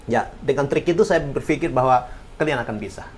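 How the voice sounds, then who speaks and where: A man's voice: medium pitch, fairly quick, slight background noise. A man, an English teacher and former interpreter, talking earnestly and encouragingly in a podcast interview.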